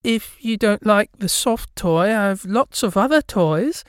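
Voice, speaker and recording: A man's voice: high voice, expressive, fairly bright tone, smooth, no echo, clean studio sound, voice pushed up, full character voice. A man putting on a coaxing old shopkeeper woman. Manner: slow, coaxing sales patter. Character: older woman shopkeeper, pushy seller.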